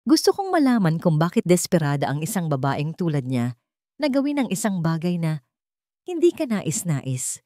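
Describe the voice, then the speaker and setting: A woman's voice: lowish voice, sing-song, normal speed, mellow, no room sound, studio quality. A woman playing a commanding, suspicious male billionaire boss in an audio romance, curt and insistent.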